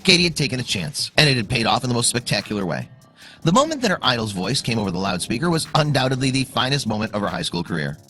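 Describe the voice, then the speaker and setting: A man narrates a scripted story for online video with suspense and emphasis. His bright, forceful voice sits at a middle pitch and moves in lively swings. Music plays softly behind him. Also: fast. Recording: music under the voice. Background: background music.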